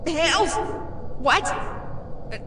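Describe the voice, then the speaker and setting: A woman playing a defiant, bitter captive royal who taunts a former comrade in a theatrical fantasy audio roleplay, with deliberate pauses. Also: high voice, expressive, noisy background, full character voice. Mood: indignant, confused. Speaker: voice actor. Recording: roomy echo, loud background ambience.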